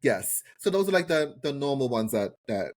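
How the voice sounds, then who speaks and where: A man's voice: medium pitch, big pitch swings, moderate pace, darkish tone. A man co-hosting a casual recap podcast, talking in a slangy, emphatic way with free swearing and repeated phrases. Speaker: podcast co-host. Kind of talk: casual chat, TV show recap.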